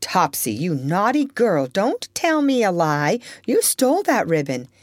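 A woman's voice: mid-range voice, very animated, a little slow, dry recording, studio quality, pitched above the performer's own voice. A woman voicing a strict, prim middle-aged aunt who scolds and exclaims as she reads a storybook aloud. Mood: scolding. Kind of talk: storybook reading.

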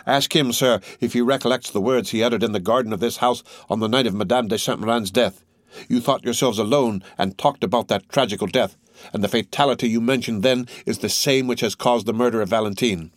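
A man's voice: mid-range voice, fairly quick, dry recording, clean studio sound, natural voice. A man voicing a grieving young man who accuses others with fierce insistence. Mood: impassioned. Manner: impassioned, insistent.

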